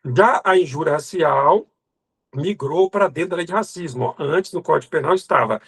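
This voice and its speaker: A man's voice: fairly high pitch, moderate pace, gravelly, fairly bright tone, slightly rough. A Brazilian man teaching an exam review lecture, emphatic and didactic, drilling lists by repetition and speaking directly to the student in a colloquial way. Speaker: law exam prep teacher.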